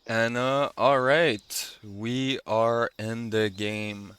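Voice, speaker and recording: A man's voice: mid-range voice, fairly even intonation, drawn-out words, a bit bright, clean tone, dry recording. A man giving casual, rambling commentary as the host of a video game playthrough. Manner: rambling, laid-back. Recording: faint game ambience behind commentary.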